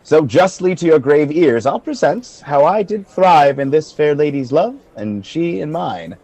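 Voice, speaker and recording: A man playing a valiant, dignified general in a Shakespearean radio play, speaking in measured, earnest oratory. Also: fairly high pitch, animated, mellow. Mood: earnest, resolute. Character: valiant, dignified male general, frank and composed. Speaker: amateur actor in an online radio play. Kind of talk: Shakespearean verse acting for radio.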